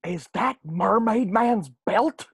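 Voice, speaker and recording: A man's voice: high voice, lively intonation, slow pace, slightly rough, exaggerated voice. A man playing a snide, haughty squid cashier in a cartoon table reading, sarcastic and needling. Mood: smug, needling.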